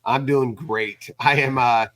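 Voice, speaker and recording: A man speaking as guest co-host and fence contractor in a casual recap chat, with a halting delivery that repeats words. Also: mid-range voice, fairly even intonation, fairly bright tone.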